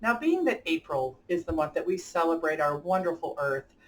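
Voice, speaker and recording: A woman's voice: low-pitched, sing-song, normal speed. A woman hosting story time for children, speaking enthusiastically and directly to young listeners as she chats and reads aloud. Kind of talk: picture-book read-aloud and chat for children. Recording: distant from the mic.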